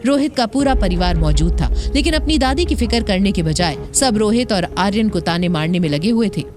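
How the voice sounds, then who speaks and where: A woman's voice: mid-range voice, expressive, a little fast, music in the background. A woman reading scripted narration for an audio drama.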